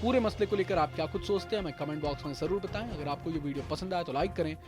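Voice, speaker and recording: High-pitched male news narrator over music. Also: rapid-fire. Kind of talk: scripted Hindi news voice-over. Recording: music bed under voice. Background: prominent background music.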